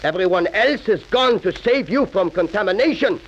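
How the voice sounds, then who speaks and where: Muffled old radio drama, imperious Nazi official, arrogant, pompous, slightly high voice. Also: male voice, animated, normal speed. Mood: commanding, zealous. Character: arrogant Nazi official taking over a school. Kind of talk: 1940s radio drama acting. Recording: muffled, hissy 1940s radio recording.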